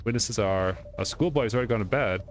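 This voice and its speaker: A man with a lowish voice reads game dialogue aloud at a quick clip. He trips over the odd word and corrects himself as he goes. Faint game music runs behind him. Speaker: let's play streamer. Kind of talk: reading game dialogue aloud during playthrough.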